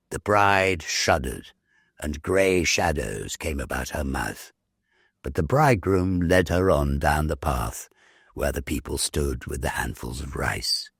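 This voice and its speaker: A man reading a ghost story as dramatic narration, theatrical, measured and full of suspense. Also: very deep voice, creaky, husky, no room sound, clean studio sound.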